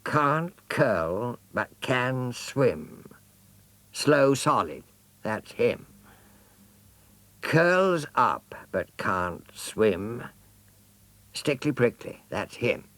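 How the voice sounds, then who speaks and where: A man's voice: medium pitch, sing-song, drawn-out words, long pauses, fairly bright tone, dry recording, voice pushed up, full character voice. A man voicing a whiny, muddled young jaguar cub in a storybook reading, stammering and plaintive. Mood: muddled reciting.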